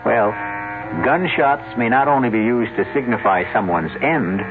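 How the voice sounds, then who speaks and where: A man hosting a radio drama, introducing acts with measured, wry storytelling. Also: mid-range voice, animated. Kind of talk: scripted narration. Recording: tinny old radio broadcast with music.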